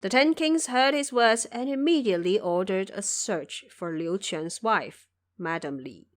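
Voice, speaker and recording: A woman's voice: medium pitch, lively intonation, unhurried. A woman giving scripted storytelling narration for an audio drama.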